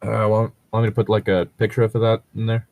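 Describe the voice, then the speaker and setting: A man's voice: on the low side, brisk, gravelly, no room sound. A man chatting casually and jokily about tabletop roleplaying, with some offhand swearing. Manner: animated, jokey, swears casually. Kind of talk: casual chat.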